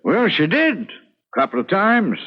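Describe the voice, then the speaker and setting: Husky, animated country reverend. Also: male voice, high voice, measured pace, full character voice. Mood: genial. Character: genial, puzzled country reverend, courteous and scholarly. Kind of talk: radio drama acting. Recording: muffled old radio recording.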